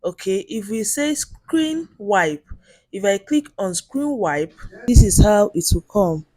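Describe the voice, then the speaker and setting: A woman with a loud voice teaching video editing step by step on a handheld mic, in a chatty way with lots of "okay" and "right?". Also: mid-range voice, lively intonation, slow, no room sound. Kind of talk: screen-recorded app tutorial.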